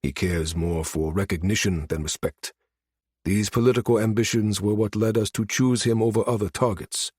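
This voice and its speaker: A man voicing a guarded, dutiful state security minister in a science fiction audiobook, calm and measured. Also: fairly low pitch, breathy edge, dry recording, clean studio sound. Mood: measured, analytical.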